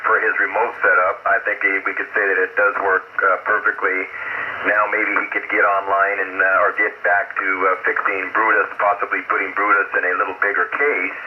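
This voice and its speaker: A man's voice: low voice, little pitch movement, fairly quick. A man, an amateur radio operator, chatting plainly and drifting between thoughts, heard over a ham radio link from outdoors. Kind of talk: casual radio chat. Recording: tinny, static-laden radio signal.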